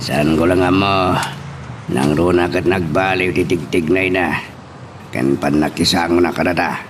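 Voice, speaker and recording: A man's voice: sits low, fairly even intonation, unhurried. A man with an Ilocano accent acting a deferential, hesitant henchman of a crime family in a radio drama. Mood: calm, agreeable. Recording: clear background noise.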